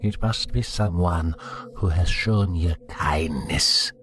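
A menacing, demonic priest from a horror tale, acted out by a male narrator. The delivery is slow, deliberate and coldly sarcastic, in a low voice over clear noise. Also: fairly bright tone. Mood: cold menace. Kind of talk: horror story character voice. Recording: clearly noisy recording.

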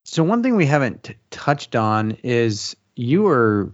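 A man hosting a podcast, asking an interview question in a relaxed, slightly halting way. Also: mid-range voice, dull audio. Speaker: podcast host.

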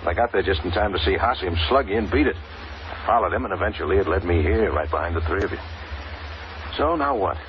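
Casting: brisk male reporter sidekick, low voice, quick casual slang, vintage radio drama with muffled sound. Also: expressive. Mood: brisk, confiding. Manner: casual, slangy, matter-of-fact. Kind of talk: 1950s radio adventure acting. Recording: muffled old radio broadcast, faint noise.

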